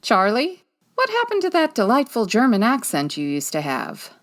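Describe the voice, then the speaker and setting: Woman as breezy forties private eye gal, wry, bossy, super animated pitch, radio comedy. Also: moderate pace. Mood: breezy, confident. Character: quick-witted, breezy 1940s female private detective. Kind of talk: comedic 1940s radio mystery acting.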